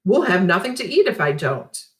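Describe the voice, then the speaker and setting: Woman as a sick, stubborn pioneer father, animated. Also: medium pitch, moderate pace, natural voice. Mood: worried, stubborn. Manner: protesting, worried.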